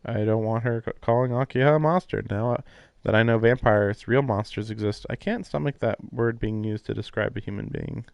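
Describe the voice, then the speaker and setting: A man's voice: on the low side, fairly quick, vocal fry, a little mellow, no echo. A man doing casual livestream commentary and reading aloud, puzzled and musing as he goes. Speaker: livestreamer playing a visual novel. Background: game music.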